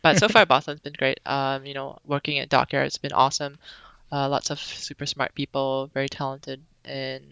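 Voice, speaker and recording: Gravelly, bright-voiced young woman guest. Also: extremely low pitch, moderate pace, dry recording, muffled recording. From Singapore. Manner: relaxed, chatty, many ums. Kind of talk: casual interview chat. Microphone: remote recording.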